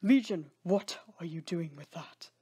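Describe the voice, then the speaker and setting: Woman acting a stern, indignant lord, husky. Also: lowish voice, unhurried. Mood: firm warning. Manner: forceful, commanding. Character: stern wronged lord, man, protective and indignant. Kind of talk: dramatic audio story acting.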